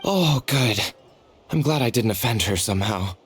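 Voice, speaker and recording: A man's voice: lowish voice, expressive, normal speed, a little hiss or hum, close to an everyday voice. An actor playing a nervous, deferential ordinary tribesman in a game. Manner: polite, deferential.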